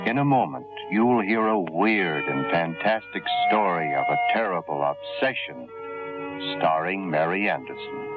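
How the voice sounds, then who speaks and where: A man's voice: deep voice, expressive, a little slow. A man narrating a vintage radio thriller in an ominous, theatrical, florid style. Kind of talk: 1940s radio drama narration, scripted. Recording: muffled vintage radio recording, loud music.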